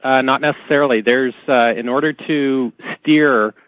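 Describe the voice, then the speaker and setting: A man's voice: medium pitch, steady tone, normal speed, no room sound. Man explaining technical matters, muffled audio. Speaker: expert guest on a radio show. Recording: dull band-limited radio call audio.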